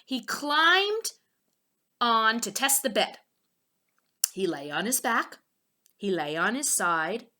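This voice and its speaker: A woman's voice: on the high side, sing-song, slow, pauses often, pushed and bright. A woman teacher reading a children's storybook aloud, expressively, with asides spoken directly to her young listeners.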